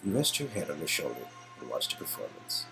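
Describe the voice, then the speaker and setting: A man reading expressive second-person narration for an interactive story game. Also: a bass voice, fast, over music, low-quality recording. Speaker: narrator and player character reader.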